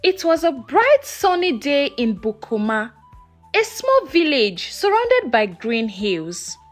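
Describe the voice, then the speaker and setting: A woman telling a scripted folktale as a storyteller, in a measured, expressive and dramatic way. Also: sits high, faint music behind. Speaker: storyteller narrator.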